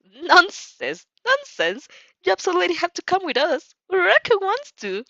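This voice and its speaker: A woman voicing a chirpy, excitable and mischievous teenage anime girl in a fan fiction dramatic reading. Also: very high-pitched, moderate pace, no echo, muffled recording, voice pushed up, exaggerated voice. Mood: excited, insistent.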